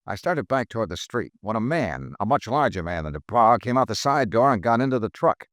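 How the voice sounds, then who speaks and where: A persistent insurance investigator telling his own story in a 1950s radio crime drama. His low voice moves widely in pitch and sounds dry and close, with a wry, matter-of-fact delivery. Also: big pitch swings, brisk, a bit bright, no room sound. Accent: American. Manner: wry, dry first-person narration. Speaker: lead and narrator.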